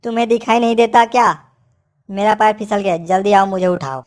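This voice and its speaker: A woman's voice: mid-range voice, fairly even intonation, fairly quick, a little mellow, natural voice. Sly lazy cartoon donkey voiced by a woman. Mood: demanding. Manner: crafty, self-satisfied.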